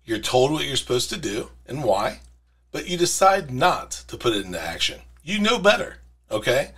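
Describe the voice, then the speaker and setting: A man's voice: mid-range voice, a bit bright, husky. A middle-aged man hosting a safety podcast, speaking earnestly and directly to camera in a small studio on a studio mic. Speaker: podcast host. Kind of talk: scripted safety podcast monologue. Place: small studio with brick wall. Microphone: studio mic on arm.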